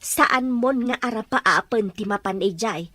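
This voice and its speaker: A woman's voice: on the high side, a little slow, sharp tone, no echo. A woman playing a concerned, indignant older household helper in an Ilocano radio drama. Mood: uneasy.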